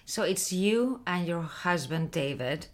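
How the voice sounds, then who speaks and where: A woman's voice: fairly low pitch, animated, slow pace. A woman hosting her own interview show, asking questions in an encouraging way. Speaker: talk show host.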